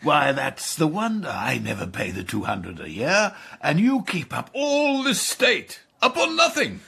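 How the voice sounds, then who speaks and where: A man's voice: fairly high pitch, huge pitch swings, a little slow, creaky, sharp tone, breathy edge, full character voice. An actor playing a roguish older English aristocrat, a grasping father who speaks with jovial pomposity in a radio drama. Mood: jovial, needling. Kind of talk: British radio drama acting.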